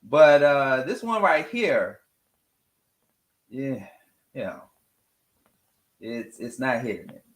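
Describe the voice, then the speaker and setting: Guy hosting a morning show, slow pace, very lively pitch, casual music talk, repeats stuff for emphasis. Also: echoey room. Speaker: radio morning show host.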